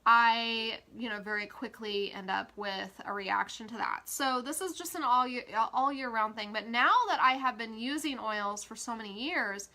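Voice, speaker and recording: A woman's voice: a little high, moderate pace, hardly any pauses, bright, forceful tone. A woman with a stuffy, congested voice chatting casually as an essential oil educator, with frequent fillers. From Kansas, USA. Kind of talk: casual social media live talk.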